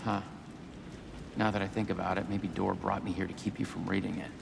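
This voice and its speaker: An actor playing a weary, puzzled sheriff in a video game drama, speaking hesitantly and musingly. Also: male voice, low voice, flat delivery, fairly quick, gravelly, background noise. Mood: musing, determined. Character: weary, puzzled small-town sheriff lost in a nightmare.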